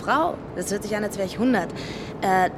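An actress playing a sceptical, quick-witted young woman traveller in a radio drama, dry, teasing and conversational. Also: mid-range voice, lightly acted. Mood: wry, insistent. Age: young adult. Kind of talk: naturalistic radio drama acting. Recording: noisy train ambience.

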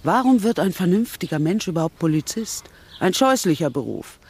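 An older actress playing a blunt, disapproving elderly English spinster aunt in a radio play, brusque and scornful. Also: female voice, fairly low pitch, very animated, moderate pace, darkish tone, slightly rough, heavily acted.

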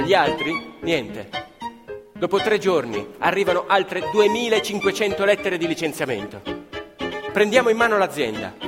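A man with a high, very expressive voice tells a scripted story theatrically. He is dramatic and emphatic, recorded in a reverberant hall with live violin music clearly behind him. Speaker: actor-narrator.

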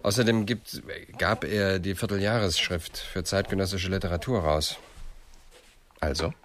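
Deep-voiced, dry police investigator. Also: male voice, fairly even intonation, normal speed, fairly bright tone. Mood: dry, probing. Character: educated male police investigator, probing and matter-of-fact. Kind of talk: radio crime drama dialogue.

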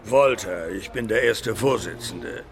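A man's voice: lowish voice, unhurried. A man playing a brusque, officious club chairman in a radio play, curt and demanding.

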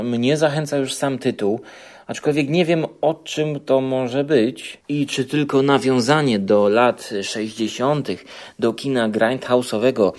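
A man's voice: medium pitch, darkish tone. A man giving opinion commentary as a guest on a podcast.

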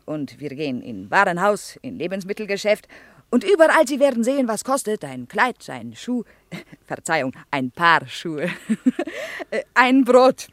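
An actress playing a proud, guarded Soviet woman interpreter who speaks halting German as a native Russian speaker in a radio drama. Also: mid-range voice, very animated, dry recording. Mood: firm, polite. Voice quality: foreign-accented, halting syntax. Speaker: interpreter and guide.

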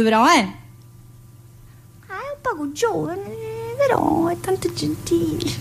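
Stage monologue: actress as chatty woman inmate, rather high voice, southern Italian accent, colloquial, teasing yet wounded. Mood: teasing, excited. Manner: rambling, colloquial, full of tag questions. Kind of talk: theatrical monologue in colloquial dialect-tinged speech.

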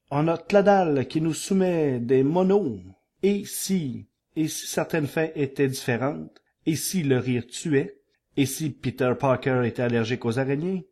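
A man presenting a podcast news segment, rattling off a list in a quick, chatty way with frequent fillers. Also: medium pitch, dark tone. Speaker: podcast columnist.

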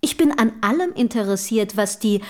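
A woman's voice: medium pitch, lively intonation, normal speed, natural voice. An actress in a German radio play playing a forward, inquisitive young woman of the Romantic era, with formal, polite, probing diction. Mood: curious, pointed.

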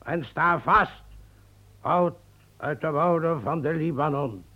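An actor in a radio play playing a pompous, imperious magician who speaks in a commanding, incantatory manner. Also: male voice, fairly high pitch, slow. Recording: dull old radio recording.

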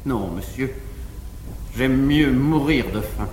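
An actor playing a meek, pious, destitute beggar, deferential and solemn, in 1950s French classical stage style. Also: male voice, mid-range voice, slow pace, darkish tone, a little hiss or hum, close to an everyday voice. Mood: pleading, steadfast. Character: humble, pious, destitute beggar, pleading yet steadfast. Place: large theatre stage before an audience. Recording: echoey 1950s live theatre stage recording.

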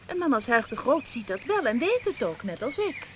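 A woman's voice: fairly high pitch, sing-song, normal speed. An actress playing a frank, devoted young woman in a radio play, teasing and affectionate. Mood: indignant, frank. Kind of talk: Dutch radio play acting. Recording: noisy old radio play recording.

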